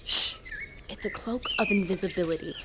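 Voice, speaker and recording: A woman's voice: mid-range voice, fairly quick, very noisy, lightly acted. A woman playing a melodramatic, intense teenage girl larper in a fantasy adventure audio drama. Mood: hushed, secretive. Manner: theatrical, dramatic. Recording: loud music behind the voice.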